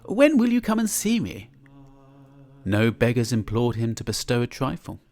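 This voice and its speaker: A man's voice: medium pitch, very animated, normal speed, darkish tone. A man giving a live dramatic reading of a classic tale in a church, theatrical and savouring each descriptive word. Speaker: reader.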